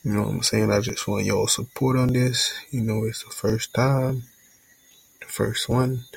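A man's voice: medium pitch, little pitch movement, unhurried, creaky, slightly rough, no room sound, rough recording. A young man from Jamaica hosting a casual solo podcast intro in a laid-back, rambling way, often saying "you know what I'm saying". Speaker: podcast host.

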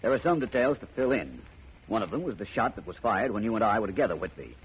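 An actor playing a shrewd, self-assured detective in a 1950s radio drama, suave and deliberate with wry phrasing. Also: male voice, on the low side, very animated, husky, full character voice. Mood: explaining calmly. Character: shrewd, self-assured private detective explaining his case. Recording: muffled vintage radio recording.

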